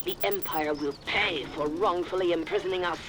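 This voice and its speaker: A woman playing a fierce, vengeful dark-side witch warrior in a video game, proud, solemn and intense. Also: fairly low pitch, normal speed, noisy background, natural voice. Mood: defiant. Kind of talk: scripted video game acting.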